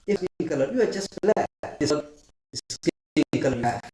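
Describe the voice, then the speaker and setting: A man's voice: fairly high pitch, lively intonation, moderate pace, mellow, reverberant. A man ranting in a casual video, arguing his point and calling his listener "bro". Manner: argumentative, addresses listener as "bro".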